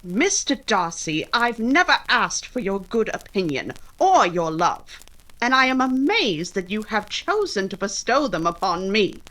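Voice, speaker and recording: An actress playing a spirited, outspoken young Regency gentlewoman in old-time radio romance style, crisp and articulate. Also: medium pitch, big pitch swings, unhurried, poor audio. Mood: cold anger.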